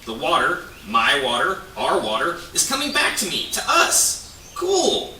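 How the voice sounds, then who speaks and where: A man's voice: on the high side, wildly swooping pitch, unhurried, sharp tone, heavily acted. A man voicing an eager young beaver in a children's puppet show, earnest and exaggerated. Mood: excited discovery. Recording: echoey, distant, faint noise.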